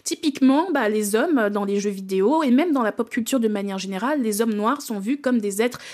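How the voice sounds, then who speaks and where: A woman with a fairly high voice speaking clearly and articulately. She explains her work as an inclusion consultant in a radio discussion. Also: a little fast, darkish tone.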